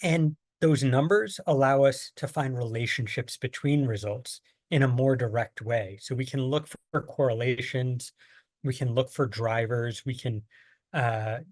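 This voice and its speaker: A man's voice: medium pitch, no echo. A man from the United States presenting a training lesson on survey design, explaining patiently with plain everyday examples. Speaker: workshop presenter. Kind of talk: online training lecture.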